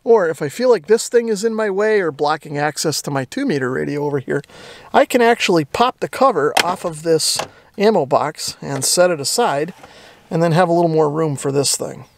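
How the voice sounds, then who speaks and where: A man's voice: fairly high pitch, animated, normal speed, creaky. A middle-aged American man hosting a hobby how-to, talking in an easygoing, self-deprecating, casual way. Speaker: host of a radio hobby channel.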